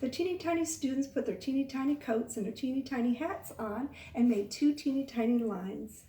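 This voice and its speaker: A woman reading a picture book aloud to young children, expressive, pausing to ask the listeners questions. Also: high-pitched, a little hiss or hum. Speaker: children's storytime reader. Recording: echoey room, far from mic.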